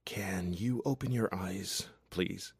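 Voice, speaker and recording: A man voicing an earnest, tender young male lover in an audiobook, speaking gently and haltingly. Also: mid-range voice, expressive, dry recording, studio quality. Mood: gentle, hushed. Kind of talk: audiobook character dialogue.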